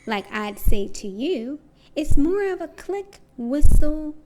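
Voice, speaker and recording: A woman reading a rhyming children's book aloud in an expressive, deliberate way. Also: high-pitched, slight background noise, poor audio. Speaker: storybook reader.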